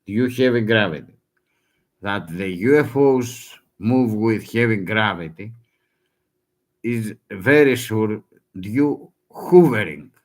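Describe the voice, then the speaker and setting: A man whose first language is Greek, speaking broken English in a halting, urgent warning with many restarts. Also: lowish voice, expressive. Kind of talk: halting self-recorded warning.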